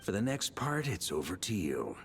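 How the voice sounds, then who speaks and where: An actor playing a rugged, confident veteran knight commander in a video game, blunt and informal with a wry, unshaken air. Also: male voice, deep voice, wildly swooping pitch, moderate pace, fairly bright tone, heavily acted. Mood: calm, pondering. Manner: laid-back, blunt, informal. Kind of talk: dramatic video game voice acting. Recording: music under the voice. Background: game music.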